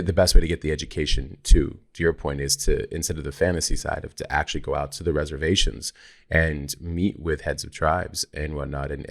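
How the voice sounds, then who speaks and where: A man's voice: very deep voice, vocal fry. A man in his forties with an American accent, hosting a conversational podcast interview in a reflective, encouraging manner. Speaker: podcast host.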